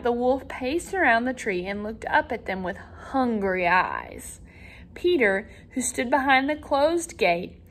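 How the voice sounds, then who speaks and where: Female storybook reader, teacher type: higher-pitched, very lively intonation, dramatic emphasis aimed at young children. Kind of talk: animated storybook read-aloud.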